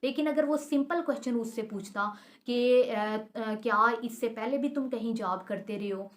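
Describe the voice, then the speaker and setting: A woman's voice: fairly high pitch, normal speed. A woman with a Pakistani accent explaining step by step in a lecture style, hesitating and repeating herself as she teaches. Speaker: law lecturer.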